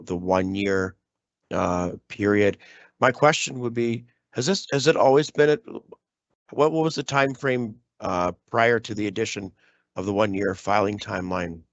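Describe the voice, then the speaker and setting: A man, a school trustee, losing his voice and clearing his throat, asking polite formal questions in a meeting. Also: fairly low pitch, dry recording. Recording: dull lo-fi meeting audio.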